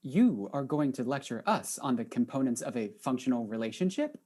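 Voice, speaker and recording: A woman playing an aloof artificial intelligence in a comic mystery, speaking in a formal, deadpan way. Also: very deep voice, brisk, slightly rough, exaggerated voice. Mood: cool, deadpan. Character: aloof, soulless AI that had a love affair.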